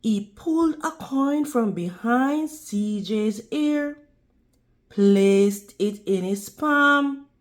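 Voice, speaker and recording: A woman reading a children's storybook aloud like a teacher, deliberate and instructional toward young listeners. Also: mid-range voice. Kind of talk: children's storybook read-aloud with prompting questions.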